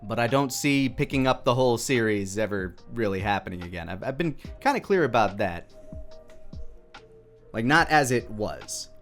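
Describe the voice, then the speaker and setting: A man's voice: medium pitch, expressive, moderate pace, fairly bright tone, faint music behind. A man giving casual, off-the-cuff commentary as a video creator, rambling and thinking aloud as he goes.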